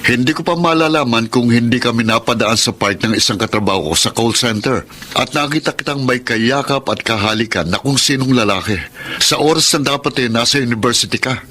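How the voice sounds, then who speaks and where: Forceful, scolding elder brother. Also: male voice, mid-range voice, brisk. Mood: angry, scolding. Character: stern, angry elder brother, young working man. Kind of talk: Filipino radio drama acting.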